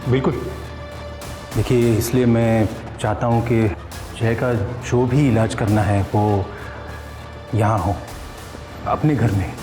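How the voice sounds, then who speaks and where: A man's voice: fairly low pitch, steady tone, slow, pauses often. An actor playing a cautious, persuasive political party man in a TV crime serial, hesitant and placating. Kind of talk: Hindi TV crime serial acting. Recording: echoey room, loud background music.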